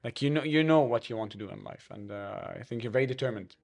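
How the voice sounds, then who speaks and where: A man's voice: medium pitch, fast talker, vocal fry. A man in his thirties co-hosting a podcast interview in a studio, asking long, rambling questions and repeating words as he goes. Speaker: podcast co-host. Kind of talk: casual interview talk. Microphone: studio mic on stand.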